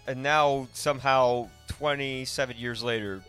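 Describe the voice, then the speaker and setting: A man hosting a podcast in a casual, rambling way, often halting and correcting himself. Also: medium pitch, fairly even intonation, bright, forceful tone, faint music behind. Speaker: podcast host.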